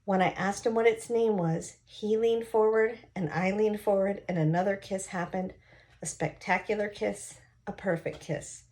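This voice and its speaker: A woman reading a novel aloud expressively, pausing now and then to explain it to listeners. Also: mid-range voice, fairly even intonation, darkish tone.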